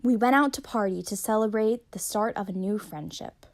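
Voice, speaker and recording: A woman's voice: medium pitch, moderate pace, background noise. A young woman narrating her travel vlog in a wry, self-deprecating voice-over. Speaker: travel vlogger.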